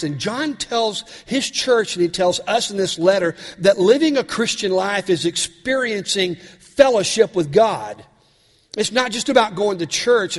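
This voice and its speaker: A male pastor, raspy from a cold, preaching a sermon with earnest questions to his congregation. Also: sits high, in a large hall.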